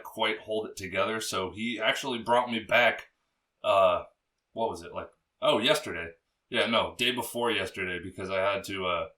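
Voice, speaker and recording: A young man with a low, bassy voice talks loosely as a podcast guest, piling on fillers and casual swearing. His tone is bright and forceful. He sits a little back from the microphone. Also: distant mic. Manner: rambling, full of fillers and swearing. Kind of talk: casual interview chat.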